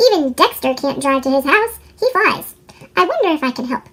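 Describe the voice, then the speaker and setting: Storyteller as a helpful lady spider, thoughtful, very high chipmunk effect, expressive storybook delivery. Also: brisk. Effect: sped-up chipmunk voice. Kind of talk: children's storybook character.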